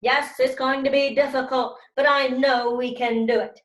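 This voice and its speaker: A woman's voice: sits high, flat delivery, moderate pace, close to an everyday voice. Woman reading as a firm, encouraging male teacher, roomy echo. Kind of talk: children's novel read-aloud.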